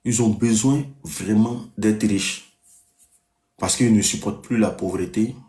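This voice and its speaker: A man telling a story on a live stream, deliberately repeating his sentences and checking that listeners follow. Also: on the low side, mellow. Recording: echoey live-stream room sound.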